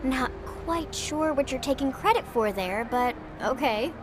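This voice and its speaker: A woman's voice: high voice, lively intonation, a little slow. A woman playing a bubbly, teasing, outspoken teenage girl in a video game scene. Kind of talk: video game cutscene acting. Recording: music behind the voice.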